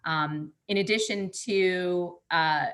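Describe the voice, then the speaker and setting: A woman interviewer with a low pitch and a bright, forceful tone. She frames long, polished questions and is generous with praise. Soft fillers slip in between her phrases. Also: slow pace. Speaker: interviewer, host.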